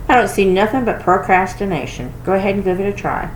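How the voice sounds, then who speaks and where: A woman voicing a loving, wise old grandmother with a gentle, folksy manner, in a storybook reading. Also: medium pitch, expressive, fast, voice pushed up, lightly acted. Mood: amused. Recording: roomy echo with clear noise.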